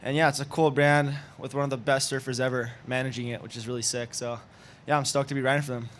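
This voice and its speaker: A man's voice: medium pitch, flat delivery, fast talker, fairly bright tone, a little hiss or hum. A man from Panama, a competitive surfer, chatting casually in an interview with laid-back surfer slang.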